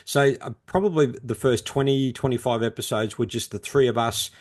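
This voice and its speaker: A man's voice: medium pitch, brisk. A man talking casually as a podcast co-host and author, explaining things in a chatty, colloquial way. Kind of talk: casual interview talk.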